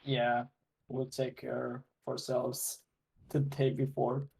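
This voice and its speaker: Laid-back slangy man, slightly husky. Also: medium pitch, flat delivery, takes it slowly, a little mellow, rough recording. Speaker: podcast co-host. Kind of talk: casual podcast chat.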